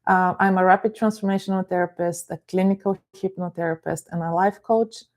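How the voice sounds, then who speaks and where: A middle-aged woman from Bulgaria, a therapist and life coach giving a calm, explanatory live-streamed talk and greeting viewers by name. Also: fairly low pitch, brisk.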